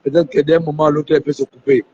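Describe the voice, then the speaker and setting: Hoarse man with a flu. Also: a little high, flat delivery, fast, a little mellow. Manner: talks to viewers, repeats himself. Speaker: live stream host. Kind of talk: live phone interview. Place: airport. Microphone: phone. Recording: muffled phone audio.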